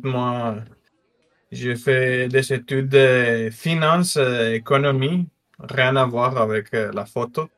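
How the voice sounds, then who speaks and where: Slow, hesitant man with a Mexican accent. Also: medium pitch, a bit bright. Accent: Mexican Spanish. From northern Mexico. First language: Spanish. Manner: hesitant, many fillers, slight grammar slips. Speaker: guest photographer. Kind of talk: casual interview talk.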